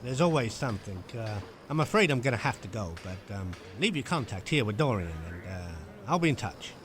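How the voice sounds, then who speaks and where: An actor playing a theatrical, self-important 1940s Hollywood film director in an audio drama, with a breezy, wry manner. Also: male voice, medium pitch, big pitch swings, fairly quick, over music, close to an everyday voice. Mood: distracted, insistent.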